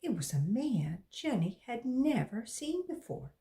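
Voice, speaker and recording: A woman from West Virginia reads a children's book aloud to a class. Her pace is slow and unhurried. Her pitch moves lively up and down as she tells the story. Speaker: volunteer reader.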